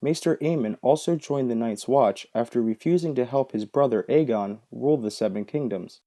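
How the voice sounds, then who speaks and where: A man's voice: mid-range voice, moderate pace, mellow. A man hosting a video with scripted explainer narration. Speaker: video host.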